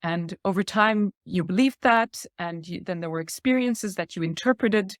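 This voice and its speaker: A woman's voice: mid-range voice, normal speed, no room sound, studio quality. Woman in her forties explaining ideas earnestly. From Thailand. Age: 40s. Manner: earnest, explanatory, laughs lightly between points. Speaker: guest speaker. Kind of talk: reflective podcast talk.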